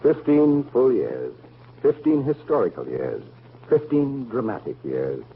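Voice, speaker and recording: A man's voice: medium pitch, wildly swooping pitch, measured pace, gravelly, slightly rough, heavily acted. An actor playing an earnest family man in a 1940s radio drama, making formal, emphatic speeches. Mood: declamatory. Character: earnest, oratorical family man championing the American home. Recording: narrow, tinny old radio recording.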